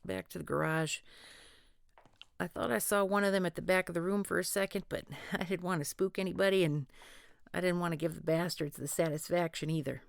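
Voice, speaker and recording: Audio drama: a woman as a gruff, sardonic group leader, low gravelly voice. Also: fairly even intonation, a little fast, no room sound. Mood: wary. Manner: gruff, wisecracking, swears often. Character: blunt, foul-mouthed woman leading a paranormal group. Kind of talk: scripted audio roleplay.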